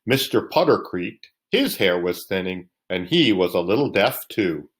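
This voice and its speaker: Low-voiced man reading a bedtime story. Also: very animated, measured pace. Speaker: storybook reader.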